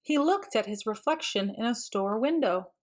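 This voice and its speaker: A woman reading a picture book aloud for children as narrator, in an expressive, deliberate storytelling manner. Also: a little high.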